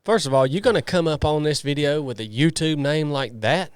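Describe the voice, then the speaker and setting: Joking Southern man with clear voice. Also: a little high, fairly quick, dry recording, studio quality. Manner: joking, mock-indignant ribbing of listeners. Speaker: podcast co-host. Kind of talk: casual podcast banter.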